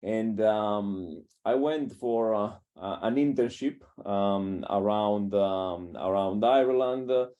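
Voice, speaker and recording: An Italian man with an Italian accent and non-native English, speaking haltingly with many filler sounds as a coach in an interview. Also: lowish voice, mellow, dull audio. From Italy. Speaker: strength and conditioning coach. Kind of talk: casual interview talk.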